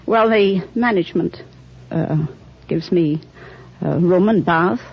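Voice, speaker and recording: A woman's voice: on the low side, slow, creaky. A woman with a touch of an English accent, answering a 1950s radio host politely and a little hesitantly. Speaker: quiz show contestant, hotel housekeeper. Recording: dull vintage 1950 radio broadcast, loud audience, noise. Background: studio audience.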